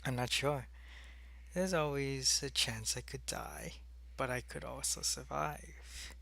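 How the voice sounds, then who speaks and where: Man playing an alien hive spy, mid-pitched, crackly fry, earnest, devoted, halting delivery, ASMR roleplay. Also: fairly even intonation, pushed and bright, heavily acted. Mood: subdued, regretful. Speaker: voice actor in an audio roleplay.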